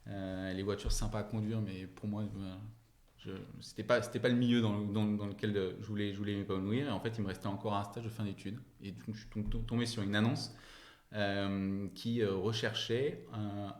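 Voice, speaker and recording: Low-voiced man in a roomy space. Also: fairly even intonation, normal speed. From France and Germany. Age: late 30s. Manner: hesitant, repeats words while thinking. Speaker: company founder, podcast guest. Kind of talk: casual interview answers. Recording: roomy, echoing interview sound.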